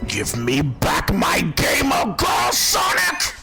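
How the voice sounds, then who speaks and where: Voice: medium pitch, wildly swooping pitch, slow pace, gravelly, over loud music, heavily acted. A performer voicing a blustering villain in a comedic fan dub, threatening and then pleading with the heroine. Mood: desperate.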